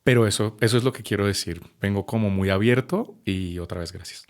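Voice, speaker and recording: Low-voiced man, reflective. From Colombia. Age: 30s. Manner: reflective, measured, with frequent hesitations. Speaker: podcast guest. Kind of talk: personal testimony in a podcast conversation.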